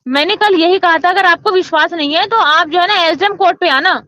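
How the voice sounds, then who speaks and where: Shrill, scolding woman on a phone call. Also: brisk, sharp tone, dry recording. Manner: scolding, insistent, talks over the other. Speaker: agent handling housing forms. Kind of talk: heated phone argument.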